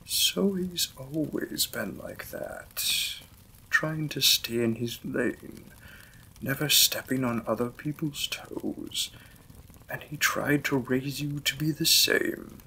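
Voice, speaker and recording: Slow-spoken, courteous Victorian vampire lord, acted by a man. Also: mid-range voice, gravelly, pushed and bright, a little hiss or hum, heavily acted, rough recording. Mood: calm, reassuring. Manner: formal, polite, unhurried phrasing. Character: courteous, reserved Victorian vampire lord, gentle new husband. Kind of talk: romantic period roleplay audio drama.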